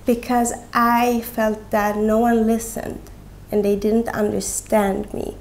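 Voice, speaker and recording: Young woman, open and unrehearsed, hesitant phrasing, some vocal fry. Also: fairly high pitch, little pitch movement, darkish tone, slight background noise. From Sweden. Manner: halting, with frequent pauses and "like". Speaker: guest. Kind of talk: candid personal conversation.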